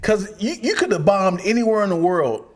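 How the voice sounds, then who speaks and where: A man with a somewhat high voice co-hosting a podcast. He talks loosely and casually, trailing off and restarting, and slips into quoted voices when he retells a story. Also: fairly quick. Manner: relaxed, trails off, quotes others in raised voices. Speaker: podcast co-host. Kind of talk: casual chat.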